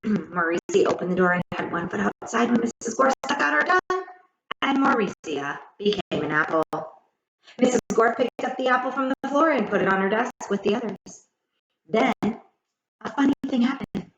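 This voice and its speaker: Female storyteller for children's read-aloud: dramatic, highly varied pitch, careful phrasing, roomy and slightly dull recording. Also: animated, normal speed, background noise. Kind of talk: expressive scripted children's story narration. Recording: echoey room, slightly muffled sound.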